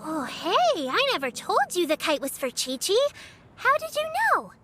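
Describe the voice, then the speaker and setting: A cheerful little girl, very high sweet voice, bouncy and curious. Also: huge pitch swings, moderate pace, soft background music, full character voice. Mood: eager. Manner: bright, sweet and eager.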